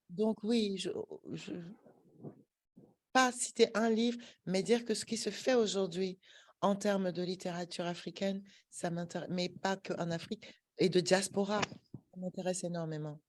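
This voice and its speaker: A woman author with a lowish voice answers over a video call. She pauses and searches for her words before picking up speed. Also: a little mellow. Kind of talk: answering an interview question.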